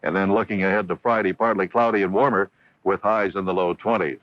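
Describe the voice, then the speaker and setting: A man's voice: low-pitched, steady tone, brisk, dry recording. A man with an American accent anchoring radio news and reading headlines and weather. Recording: dull AM radio broadcast, dry studio.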